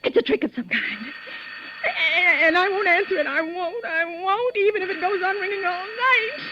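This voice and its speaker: A woman's voice: extremely high pitch, animated, normal speed, noisy background. An actress playing a nervous, imperious invalid woman, agitated and complaining, in a 1940s radio suspense drama. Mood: fearful, distraught. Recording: dull 1940s radio broadcast recording.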